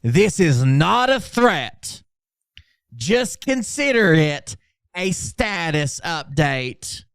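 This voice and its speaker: A man's voice: fairly high pitch, big pitch swings, extremely slow, fairly bright tone, clean tone, dry recording, studio quality, full character voice. A man doing an over-dramatic reading as a pompous, self-important doomsaying orator, grandiose and declamatory. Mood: grave, solemn.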